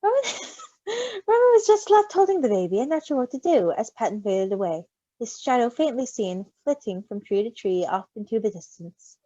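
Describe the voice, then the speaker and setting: A woman reading a story in an animated way, with giggly, casual asides. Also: a little high, normal speed, no echo. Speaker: story reader. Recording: slightly dull, close dry recording.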